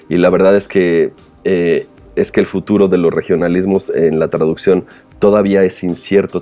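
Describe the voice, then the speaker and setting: A man's voice: deep voice, dark tone. A man with a Mexican accent, speaking as a podcast host in a conversational, analytical and somewhat hesitant way. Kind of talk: conversational commentary with prepared points.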